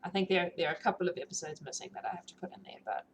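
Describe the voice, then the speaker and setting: A woman's voice: on the low side, fairly even intonation, very fast. A woman with a mixed South African, British and New Zealand accent chats informally and gigglily as the host of a book-reading livestream. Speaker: livestream host who reads novels aloud. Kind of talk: casual livestream chat with viewers. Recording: clearly noisy room ambience.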